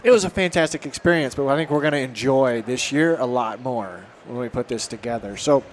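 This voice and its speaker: A man hosts a farm podcast with an upbeat, easygoing manner. His pitch is ordinary for a man, and faint background noise sits behind him. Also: fairly quick. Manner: upbeat, conversational. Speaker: podcast host. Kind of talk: casual podcast interview.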